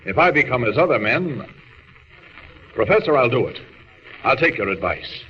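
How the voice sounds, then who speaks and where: An actor playing a noble, earnest alien superhero in a solemn, formal 1940s radio style. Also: male voice, medium pitch, big pitch swings, moderate pace, lightly acted. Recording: muffled, noisy, reverberant vintage radio recording.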